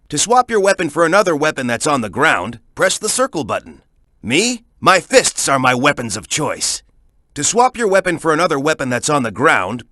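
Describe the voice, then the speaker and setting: A man's voice: a little high, pushed and bright, no room sound, clean studio sound. A man voicing a tough, cocky young male echidna fighter in a video game, with a punchy, emphatic, coaching delivery. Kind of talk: video game character voice lines.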